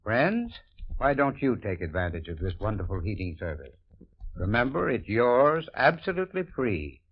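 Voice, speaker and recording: A man's voice: on the low side, very animated, normal speed. Male ad spokesman, friendly and persuasive, muffled old radio sound. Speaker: sponsor spokesman. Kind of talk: scripted radio advertisement. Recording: dull old radio recording.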